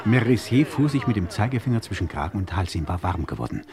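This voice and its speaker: A man's voice: lowish voice, sing-song, fast talker, crowd noise. A man playing a resolute, impatient French police inspector narrating his case in an old German radio crime drama, emphatic and insistent. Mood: sober narration. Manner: emphatic, insistent, clipped orders. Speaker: detective narrator.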